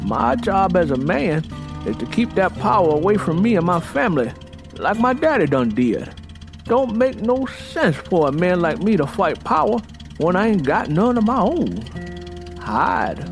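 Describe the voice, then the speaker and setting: An actor playing a stubborn, plainspoken young Southern husband in an audio drama, folksy and insistent, with a Southern US accent. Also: male voice, sits high, vocal fry, exaggerated voice. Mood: resigned, earnest. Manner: folksy, insistent, long-winded.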